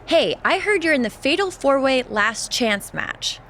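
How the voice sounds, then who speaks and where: Smug, animated wrestling champion. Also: female voice, high voice, unhurried, slight background noise, full character voice. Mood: mocking. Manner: mocking, condescending, sarcastic. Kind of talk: video game cutscene dialogue.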